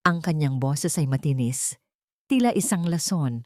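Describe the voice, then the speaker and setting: A woman's voice: low voice, lively intonation, measured pace, darkish tone, dry recording, clean studio sound. A woman with a Filipino accent reading a dramatic story as a scripted audiobook narration.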